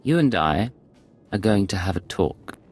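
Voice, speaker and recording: A man's voice: sits low, sing-song, unhurried, slightly rough, faint music behind, heavily acted. A man playing a cool, calculating secret agent in a video game, controlled and understated. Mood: cold threat. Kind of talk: video game dialogue acting.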